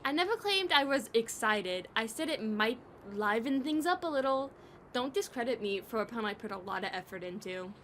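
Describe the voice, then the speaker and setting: A sardonic, playful creature from an audio drama, voiced by a woman, pitched fairly high. The delivery is wry and full of teasing banter. Noise is clearly audible in the recording. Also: expressive, moderate pace, fairly bright tone. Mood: wry sarcasm. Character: sardonic, teasing, playful eyeless bloodless oddball.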